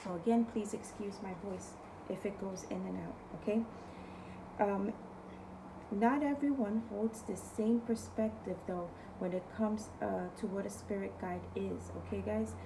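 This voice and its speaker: A woman with a New York accent hosting a casual spiritual talk as a tarot reader, chatty and often checking in with her viewers, her voice hoarse from overuse. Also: medium pitch, unhurried, noisy background. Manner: chatty, keeps asking okay guys. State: losing her voice. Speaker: tarot reader and video host.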